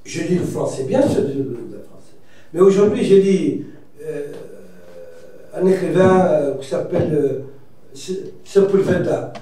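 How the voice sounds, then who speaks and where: Reflective man, mellow voice, echoey room. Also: on the high side, expressive, measured pace, far from the mic. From Mauritania. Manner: reflective, hesitant, many restarts and fillers. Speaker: writer, debate guest. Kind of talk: debate discussion.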